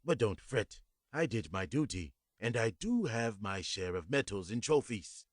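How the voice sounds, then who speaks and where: A man voicing a kindly, polite old man with a faint foreign accent, speaking gently and warmly. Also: fairly low pitch, very animated, a little slow, dry recording, clean studio sound. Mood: solemn, earnest. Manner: gentle, warm, softly spoken.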